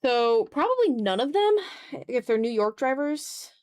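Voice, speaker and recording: A woman's voice: sits high, sing-song, a little slow, a little mellow, clean tone. A woman streamer chatting informally on a livestream while she draws, often muttering to herself as she works. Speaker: streamer hosting an art stream. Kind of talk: casual livestream chat while drawing.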